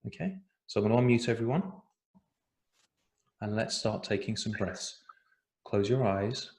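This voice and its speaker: English guy, 40s, teaching breathwork over a video call, lowish voice, chatty with lots of ums, echoey room. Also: a little slow. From England. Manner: chatty, gentle, with many fillers. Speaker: breathwork facilitator. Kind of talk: online workshop teaching.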